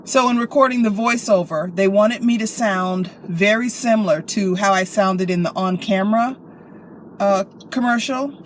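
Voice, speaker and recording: An American woman chatting casually to camera in an animated, playful, expressive way, like telling stories to friends. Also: mid-range voice. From Chicago, United States. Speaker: vlogger and actress. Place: inside a car. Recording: clear car and traffic noise.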